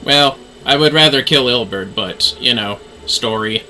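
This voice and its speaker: A man's voice: medium pitch, measured pace, bright, forceful tone, a little hiss or hum, poor audio. A man streaming a game with casual, flippant, wry commentary.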